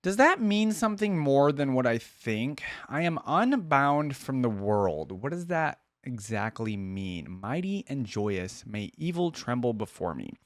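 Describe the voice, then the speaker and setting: A man's voice: medium pitch, big pitch swings, a little slow, hardly any pauses, clean studio sound. A man hosting a reaction video, commenting casually and musing aloud. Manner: musing aloud, echoing lines he hears.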